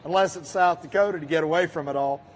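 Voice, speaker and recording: High-voiced folksy man with a Southern lilt. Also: fast talker, a little hiss or hum. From Iowa. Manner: teasing, folksy wisecracks. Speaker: host. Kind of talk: live joking banter on stage. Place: expo show stage. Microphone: handheld microphone.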